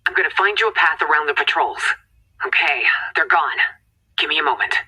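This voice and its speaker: A woman's voice: deep voice, animated, brisk, bright, forceful tone, breathy edge, exaggerated voice, rough recording. A woman playing an urgent, commanding scientist in a video game, giving terse, tense mission directions. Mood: focused, hurried.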